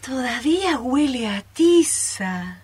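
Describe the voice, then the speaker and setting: A woman's voice: high-pitched, sing-song, takes it slowly, a little mellow, breathy edge, heavily acted. A woman playing a hopeful, nostalgic teacher in an amateur radio play. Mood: hopeful, earnest. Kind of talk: amateur radio theatre acting.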